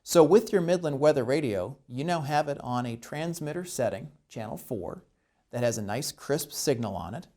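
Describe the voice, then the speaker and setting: A man presenting a step-by-step instructional demonstration, explaining each step deliberately. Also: medium pitch, expressive, dark tone.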